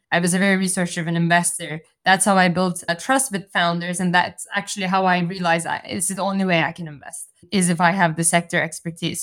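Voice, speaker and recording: A woman from Turkey, a venture capital partner, giving structured, articulate answers in an interview. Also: lowish voice. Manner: articulate, structured explanations with frequent "so" and "you know".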